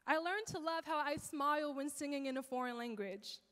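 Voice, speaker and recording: Teen girl, high bright voice, earnest rhythmic spoken word, reverberant church hall. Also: fairly quick. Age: teens. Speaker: teen performer. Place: church stage, large hall. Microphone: handheld mic.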